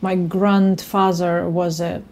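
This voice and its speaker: A woman in her thirties, a native Russian speaker from Kyrgyzstan, chatting casually in a home kitchen and sometimes pausing to search for words. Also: lowish voice, level tone, a little mellow. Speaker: guest violinist. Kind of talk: casual chat.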